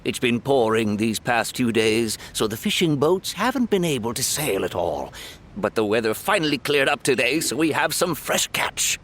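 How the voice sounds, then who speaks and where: A man's voice: mid-range voice, very animated, moderate pace, a bit bright, slight background noise. A man voicing a friendly, chatty restaurant owner in a video game, hospitable toward his guests.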